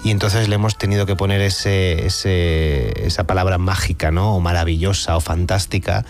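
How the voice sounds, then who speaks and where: A man being interviewed on radio, speaking reflectively and thinking aloud, with frequent pauses and restarts. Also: low voice, steady tone, soft background music. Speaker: author interviewed.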